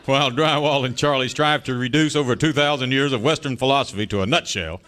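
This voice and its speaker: A man's voice: mid-range voice, quick pace, a bit bright. A man narrating a parody of a melodramatic old-time radio serial as its announcer.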